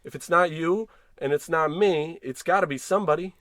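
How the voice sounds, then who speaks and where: An actor playing an excitable, fretful husband, theatrical and folksy, in a 1940s-style radio comedy. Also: male voice, fairly high pitch, moderate pace, no room sound, clean studio sound, lightly acted. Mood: baffled, insistent.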